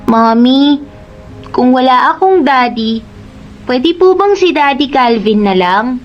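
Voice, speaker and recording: Woman acting high-voiced innocent little boy. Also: animated, takes it slowly, highs cut off, soft background music. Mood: pleading, wistful. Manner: childlike, polite, pleading. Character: young boy Liam, innocent, polite, hurt child. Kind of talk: Tagalog radio drama acting.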